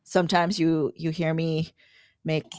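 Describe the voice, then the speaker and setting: Lowish-voiced woman, dry close sound. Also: flat delivery, measured pace, darkish tone, no echo. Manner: informal, conversational. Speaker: content creator guest. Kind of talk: casual livestream chat.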